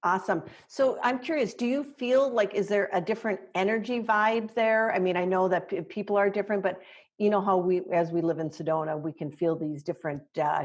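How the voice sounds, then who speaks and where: Woman host, fairly low voice, hesitant, admiring, searching for words. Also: creaky, dull audio. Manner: admiring, hesitant, many fillers and restarts. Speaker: interviewer, host. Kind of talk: candid interview conversation.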